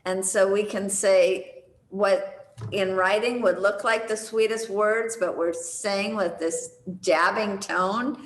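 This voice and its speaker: A woman who speaks slowly and thoughtfully on a video call. Her voice sits at a middle pitch and carries a roomy echo. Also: steady tone. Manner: measured, reflective. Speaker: conversation circle participant. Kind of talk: thoughtful sharing.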